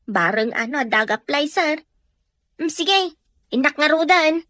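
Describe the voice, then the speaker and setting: A woman's voice: high-pitched, expressive, unhurried, fairly bright tone, no room sound, studio quality. A woman with an Ilocano accent playing a deferential, helpful household helper in a Filipino radio drama. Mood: deferential, candid.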